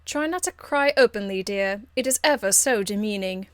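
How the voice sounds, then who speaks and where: A woman voicing a haughty, aristocratic lady mage from a fantasy game, icily polite, measured and condescending. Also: medium pitch, expressive, no echo, lightly acted. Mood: cool disdain. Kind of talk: video game character acting.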